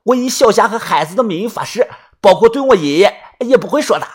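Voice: medium pitch, lively intonation, fast talker, breathy edge, voice pushed up. A narrator voicing an earnest, simple young country man in an audiobook, eager and a little hesitant. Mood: earnest promising.

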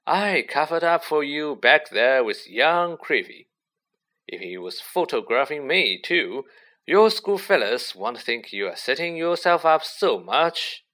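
A man's voice: on the high side, expressive, unhurried, bright, forceful tone, voice pushed up, rough recording. A man voicing a vain, jovial celebrity teacher, pompous, hearty and patronising, in a storybook reading. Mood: patronising, smug.

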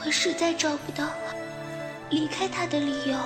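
A woman's voice: sits high, fairly even intonation, normal speed, loud music behind the voice, close to an everyday voice. A woman playing a delicate, devoted and stubborn young lady in an audio drama. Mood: sad resolve. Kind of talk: audio drama acting in Mandarin.

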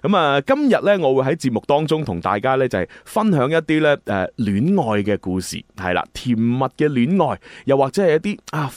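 A man's voice: mid-range voice, dry recording, studio quality. A man hosting a radio show in a casual, chatty style. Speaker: radio host and drama producer.

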